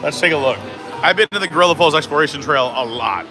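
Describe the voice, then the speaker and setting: A man with a slightly high voice hosts a live stream, trading quips in easy, joking chat. He is recorded in an indoor restaurant with music playing behind him. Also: animated, fast. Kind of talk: casual chat over a meal. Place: indoor theme-park restaurant. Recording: background music.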